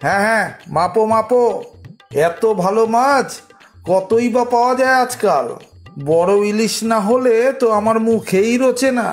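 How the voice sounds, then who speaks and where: A haughty, impatient rich snob from a kids' cartoon acted by a man. He talks down to people in a high, pompous voice over background music. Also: normal speed, natural voice. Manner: pompous, condescending. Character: haughty, rich male market customer, impatient and snobbish. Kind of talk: children's cartoon acting.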